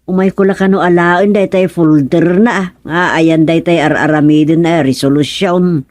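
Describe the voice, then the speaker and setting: An actress with an Ilocano Filipino accent playing a blunt, needling village wife who asks pointed questions in a radio comedy drama. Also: female voice, lowish voice, steady tone, moderate pace, darkish tone, no echo, lightly acted. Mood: questioning.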